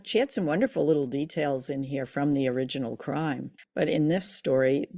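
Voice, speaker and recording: A woman's voice: low-pitched, a little fast, vocal fry, dark tone, no echo, clean studio sound. A woman co-hosting a show, chatting informally and telling stories about old radio drama. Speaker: podcast co-host.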